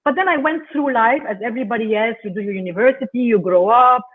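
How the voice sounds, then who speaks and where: Woman from Lebanon over tinny call audio. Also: fairly high pitch. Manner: earnest, measured, careful phrasing. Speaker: guest speaker. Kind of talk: reflective personal talk on a video call. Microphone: video call.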